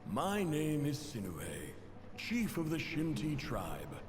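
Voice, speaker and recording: Proud desert chief, echoing, expressive. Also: male voice, fairly high pitch, background noise, exaggerated voice. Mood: formal, courteous. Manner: formal, measured, courteous. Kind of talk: dramatic video game letter narration. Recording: long reverb, music behind.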